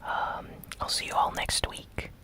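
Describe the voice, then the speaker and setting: The voice is a breathy, unvoiced whisper from start to finish. It belongs to an ASMR host who recaps gaming news in a soft, relaxed, unhurried way.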